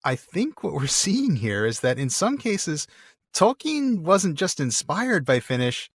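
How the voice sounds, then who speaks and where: A man's voice: a little high, very animated, unhurried, breathy edge, no echo, clean studio sound. A man co-hosting a podcast, reading listener mail and explaining word origins with enthusiasm, laughing often. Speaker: podcast co-host.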